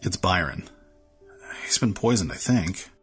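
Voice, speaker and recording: A man playing a gruff, steady male soldier with powers in an audio drama, his voice gravelly and husky. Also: low-pitched, measured pace. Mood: grave, strained. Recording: music under the voice.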